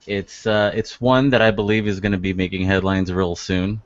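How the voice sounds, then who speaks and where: Lowish-voiced guy teasing his cohost, dead dry sound, muffled call audio. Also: little pitch movement, normal speed, dry recording. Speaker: co-host. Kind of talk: casual joking web-show chat. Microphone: video call.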